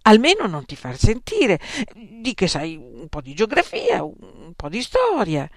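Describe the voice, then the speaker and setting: A fretful mother coaxes her son to study, voice lifted and lively, as a storyteller puts her on. The sound is dry and close. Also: mid-range voice, unhurried, no echo, voice pushed up, exaggerated voice. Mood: coaxing. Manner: coaxing. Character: pleading, coaxing mother.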